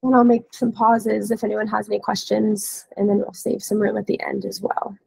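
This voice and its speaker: A woman in her thirties, hoarse and scratchy from a cold, giving a casual software training webinar over a headset. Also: medium pitch, flat delivery, normal speed, no room sound. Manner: casual, explanatory. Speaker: webinar trainer, dealer support staff. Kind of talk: informal software training walkthrough. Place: indoor room, on a video call.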